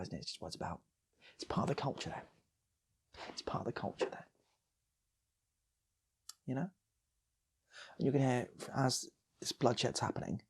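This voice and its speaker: Husky, creaky-voiced man telling a story. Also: medium pitch. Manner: rambling, repeats phrases, acts out characters. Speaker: storytelling host of an online channel. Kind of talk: improvised comic storytelling to an audience.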